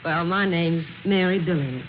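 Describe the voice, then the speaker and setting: A woman's voice: on the low side, moderate pace, lightly acted. A woman playing a polite, guarded young job seeker in a 1940s radio drama, with a proper and hesitant manner. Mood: guarded, prim. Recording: muffled, noisy old radio recording.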